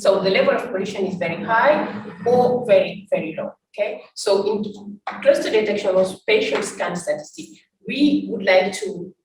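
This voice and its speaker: A woman from Senegal giving an academic talk over slides, pausing often with fillers and restarts. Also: medium pitch. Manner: halting, many fillers and restarts. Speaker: invited academic speaker. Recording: roomy, distant, faint noise.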